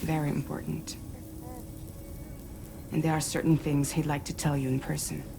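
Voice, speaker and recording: A woman playing a grave, guarded leader of a courier group in a sci-fi game, speaking in a measured, businesslike way. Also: deep voice, little pitch movement, creaky, music in the background. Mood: earnest, grave. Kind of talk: cinematic game dialogue.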